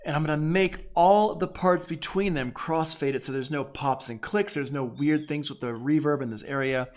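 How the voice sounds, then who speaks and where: Casual American man teaching audio software. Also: on the high side, normal speed. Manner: informal, punctuates steps with short exclamations. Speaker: audio production instructor. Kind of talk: casual software tutorial, thinking aloud while demonstrating.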